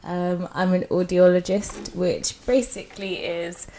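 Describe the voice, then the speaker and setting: A woman in her twenties co-hosting a casual podcast chat, teasing and expressive. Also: fairly low pitch, unhurried, a little hiss or hum. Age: 20s. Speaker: podcast co-host.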